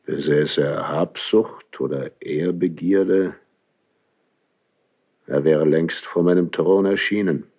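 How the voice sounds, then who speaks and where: A proud, ageing monarch in a classical verse radio play. The voice is very low and moves very slowly, with weighty pauses and an imperious, solitary gravity. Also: male voice, darkish tone, full character voice. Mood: brooding, solemn. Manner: measured, weighty, imperious delivery with long pauses. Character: grave, proud, solitary ageing king of Spain. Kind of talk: classical German stage verse radio drama.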